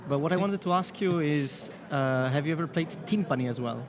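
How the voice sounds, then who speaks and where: Man in his twenties, measured. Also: on the high side, crowd noise. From Italy. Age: 20s. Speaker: competition pianist guest. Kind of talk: panel talk.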